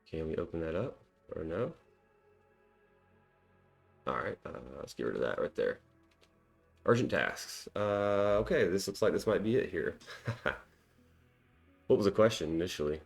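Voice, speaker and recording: Low-voiced man thinking aloud. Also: expressive, a little slow, gravelly, long pauses, soft background music. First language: English. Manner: chatty and self-deprecating, lots of ums and small laughs. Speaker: cybersecurity tutorial host. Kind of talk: casual screen-recorded walkthrough, thinking aloud.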